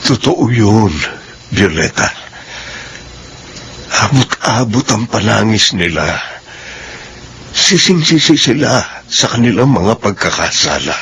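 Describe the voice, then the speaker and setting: Reassuring older brother, low, measured, earnest, slightly noisy radio drama recording. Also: male voice, fairly bright tone, full character voice.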